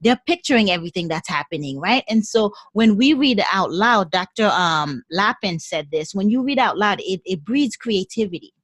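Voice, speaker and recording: This is a Haitian-accented woman talking as a podcast guest in an animated, repeating style. Her pitch sits in the middle for a woman. The sound is dry and close, with no room echo. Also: moderate pace, hardly any pauses. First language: French and Haitian Creole. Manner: animated, repeats words while thinking. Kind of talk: casual interview conversation.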